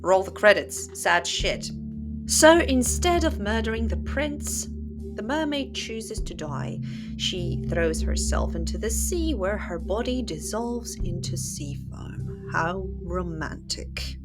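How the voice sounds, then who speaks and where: A woman's voice: medium pitch, animated, takes it slowly. A woman hosting a channel, telling and commenting on stories in a casual, opinionated, sardonic and conversational way. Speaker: host of a book channel. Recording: music playing behind the voice. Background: instrumental music.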